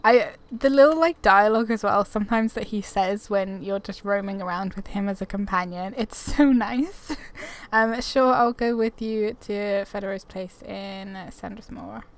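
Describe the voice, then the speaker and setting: A woman's voice: mid-range voice, normal speed. A young woman giving casual, rambling, giggly commentary as she hosts a video game playthrough. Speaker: gaming video host. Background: game music.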